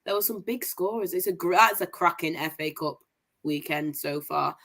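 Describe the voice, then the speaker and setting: A young woman from Manchester hosts a casual livestream football roundup. Her voice sits slightly low and sounds posh. She talks in an upbeat, chatty way and keeps her enthusiasm up while she lists results. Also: moderate pace, fairly bright tone. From Manchester, England. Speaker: host of a women's football review show.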